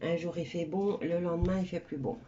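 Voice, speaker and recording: A woman's voice: fairly low pitch, steady tone, a little fast, mellow, dull audio, a little hiss or hum. A woman with a stuffy cold doing a casual tarot reading for viewers, chatty and rambling with many hesitations. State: stuffy nose from a cold, coughing. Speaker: tarot card reader.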